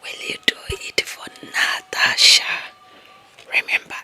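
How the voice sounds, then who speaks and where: A woman with an African accent playing a possessive, lovestruck young office employee in a breathy, whispered ASMR roleplay, coaxing and fawning with slow emphasis. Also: pushed and bright. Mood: possessive, insistent.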